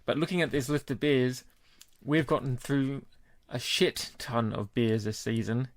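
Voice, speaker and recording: A man co-hosting a beer podcast, talking in a relaxed, casual way and cursing freely. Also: mid-range voice, measured pace, no room sound. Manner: relaxed, laid-back, swears casually. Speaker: podcast co-host. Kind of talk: casual chat.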